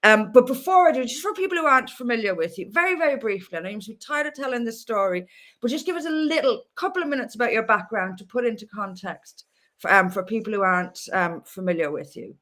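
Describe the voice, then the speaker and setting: Woman interview host, slightly high voice, halting and friendly-casual. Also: animated, fairly bright tone. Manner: hesitant, halting questions with fillers.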